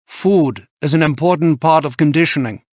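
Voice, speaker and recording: A man's voice: a little high, normal speed, a little mellow, no room sound, clean studio sound, voice pushed up, lightly acted. A man voicing a domineering, pedantic mountaineer in an audiobook, with a stiff, declamatory manner. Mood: forceful, admonishing. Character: domineering, pedantic German male mountain climber.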